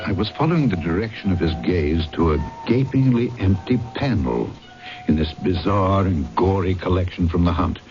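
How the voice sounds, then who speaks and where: A man's voice: sits low, animated, normal speed. An actor playing a small, dapper policeman, shrewd and courteous, narrating and acting in an old-time radio drama. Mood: brooding, reflective. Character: small dapper French policeman, shrewd, polite, conscience-torn. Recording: dull old radio recording with music.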